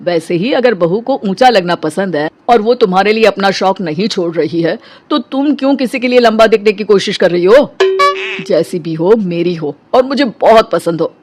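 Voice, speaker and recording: A woman's voice: mid-range voice, expressive, moderate pace, a little mellow, soft background music, natural voice. A woman voicing an earnest, soothing middle-aged husband in a cartoon story. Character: calm, reassuring middle-aged husband and father.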